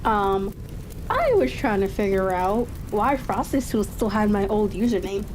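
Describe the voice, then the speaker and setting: A woman hosting a livestream, chatting casually and musing aloud to herself in an unhurried way. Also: mid-range voice, big pitch swings, a little mellow, a little hiss or hum, low-quality recording. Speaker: streamer and host of a reading session.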